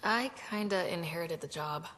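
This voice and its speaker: A woman's voice: fairly low pitch, normal speed, a bit bright, natural voice. A woman playing a frank, eager young space explorer in a video game, with a casual, direct delivery. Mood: curious.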